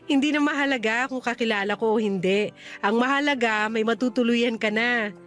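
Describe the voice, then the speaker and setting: A woman's voice: on the high side, normal speed, fairly bright tone, faint music behind, close to an everyday voice. An actress playing a kind but guarded boarding-house manager in her thirties in a Filipino radio drama, with a teasing, reassuring manner. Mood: reassuring, cautioning.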